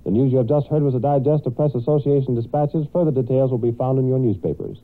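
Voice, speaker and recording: A man's voice: mid-range voice, fast, narrow, tinny audio. Male American news announcer, mid-range voice, formal 1930s network style, thin old radio band.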